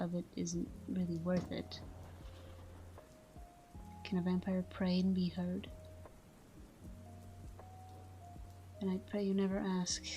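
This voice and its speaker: Woman acting a soft, mellow vampire lover. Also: on the low side. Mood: wistful, regretful. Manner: soft, tender, breathy murmur slipping into whispers. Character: caring, tormented female vampire lover watching her sleeping partner. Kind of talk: intimate scripted ASMR roleplay monologue.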